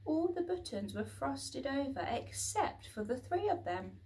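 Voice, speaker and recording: Female children's storyteller: very unhurried, dramatic, expressive read-aloud, slightly distant from the mic. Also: high-pitched. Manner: dramatic, with acted sound words.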